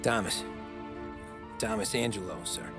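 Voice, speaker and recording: An actor as an earnest, low-voiced young cabbie. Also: male voice, fairly even intonation, a little slow, over music, natural voice. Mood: deferential. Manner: restrained, respectful. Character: earnest, proud, polite male young cab driver. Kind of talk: video game cutscene acting.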